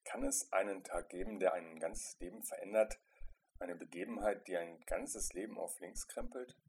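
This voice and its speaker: A man narrating a first-person literary story in an introspective, dramatic way, with emphatic swells and quieter drops. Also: deep voice, normal speed, slight background noise. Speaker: narrator reading a short story.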